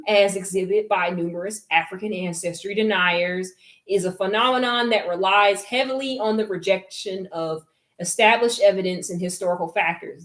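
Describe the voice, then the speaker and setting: A woman reading a book aloud in a casual talk and picking apart its wording. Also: mid-range voice. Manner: reads passages aloud then picks them apart. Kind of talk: casual critical commentary.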